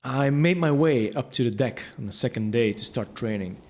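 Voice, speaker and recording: A man's voice: mid-range voice, moderate pace, a little hiss or hum. A man narrating a scripted fantasy audio drama in the first person, reflective, with wry asides. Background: music under the voice.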